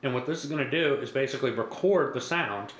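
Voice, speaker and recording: A man giving a casual, reassuring step-by-step software tutorial as a product demonstrator. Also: mid-range voice, fast talker. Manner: casual, repetitive, reassuring instructor. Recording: echoey room with faint background noise.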